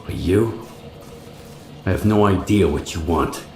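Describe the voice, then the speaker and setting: An actor playing a guarded, determined wanderer hero in a video game, blunt and questioning. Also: male voice, deep voice, a little slow, vocal fry, a little mellow, roomy sound, soft background music. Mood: defiant, guarded. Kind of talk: video game cutscene acting.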